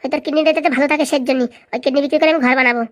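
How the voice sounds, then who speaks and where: A woman voicing a quarrelsome, sharp-tongued cartoon wife, scolding indignantly in comedy dialogue. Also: high voice, fairly even intonation, rapid-fire, natural voice.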